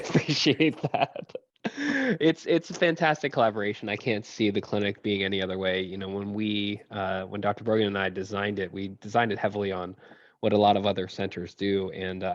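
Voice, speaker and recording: A man's voice: on the low side, dry recording, highs cut off. A male surgeon co-hosting a podcast, chatting casually and self-deprecatingly with frequent laughs.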